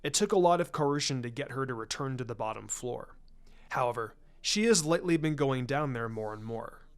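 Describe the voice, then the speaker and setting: A man voicing a formal, proud clan patriarch and father in a story reading, speaking in a guarded, measured way. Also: medium pitch, expressive, clean tone, voice pushed down, lightly acted. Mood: guarded, uneasy. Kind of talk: fan fiction story reading. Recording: music under the voice.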